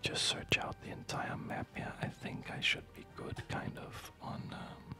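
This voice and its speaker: A man whispers breathily and haltingly in an unhurried ASMR chat while playing a game, close to a studio microphone at home. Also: sharp tone, soft background music. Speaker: ASMR gamer. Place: quiet home at night. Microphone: close studio condenser microphone.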